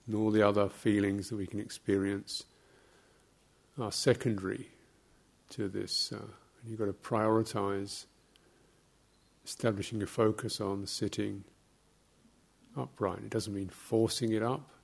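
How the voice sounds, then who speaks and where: A man with a gravelly, fairly low voice leads a guided meditation. His delivery is very slow and measured, broken into short phrases with pauses, as a teacher giving instruction. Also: flat delivery.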